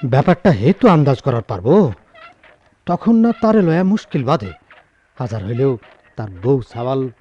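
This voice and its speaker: A man's voice: mid-range voice, very animated, moderate pace, pauses often, dull audio. An actor playing an eager, boastful hired henchman in a radio drama, obsequious and furtive, speaking a rural Bangladeshi dialect. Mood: furtive, obliging.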